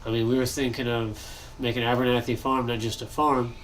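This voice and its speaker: A young man with a stuffy, nasal voice, chatting casually and thinking aloud while he builds in a game, pausing often to cough or clear his throat. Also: medium pitch, level tone, a little hiss or hum. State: congested, nasal. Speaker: gaming channel host.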